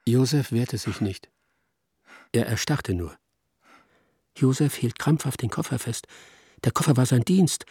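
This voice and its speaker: A man's voice: medium pitch, expressive, measured pace, pauses often, a little mellow, breathy edge, no echo, studio quality. A man narrating a literary radio play in short, insistent, dramatic sentences. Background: music with guitar.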